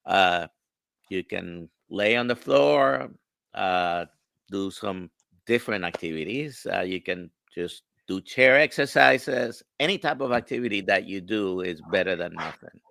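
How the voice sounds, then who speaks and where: A man with a Spanish-sounding accent from a stroke, a doctor explaining in slow, halting phrases on a live stream. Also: deep voice, lively intonation, vocal fry, a bit bright, no echo. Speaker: doctor guest. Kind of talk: casual live-stream chat.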